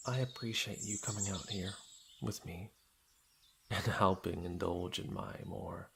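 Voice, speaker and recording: A man acting a playful, flirtatious fantasy lord in an intimate ASMR roleplay, with breathy murmurs and birdsong effects behind him. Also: deep voice, measured pace. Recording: clear background noise with birdsong effects. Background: birdsong and outdoor nature sound effects.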